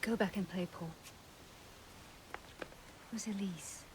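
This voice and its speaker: A woman acting a patient wife and mother in a film drama. Her mid-pitched voice is soft, hushed and coaxing, with faint noise behind it. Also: takes it slowly, natural voice. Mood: soothing.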